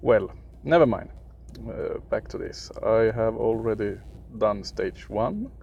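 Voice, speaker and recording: A young Swedish man with a heavy accent comments on his game at a slow, unhurried pace. His voice is low and deeply gravelly, full of fry. He pauses often and searches for English words, with a faint hiss of noise behind him. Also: animated, a little mellow, lo-fi sound. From Sweden. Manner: halting, searching for words, frequent fillers. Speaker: gaming video creator. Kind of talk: casual gameplay commentary.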